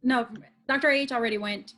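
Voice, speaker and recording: A woman moderating a video meeting, upbeat and brisk, calling on people by name. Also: a little high, fairly even intonation, a bit bright, a little hiss or hum. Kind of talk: casual video call moderating. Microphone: video call.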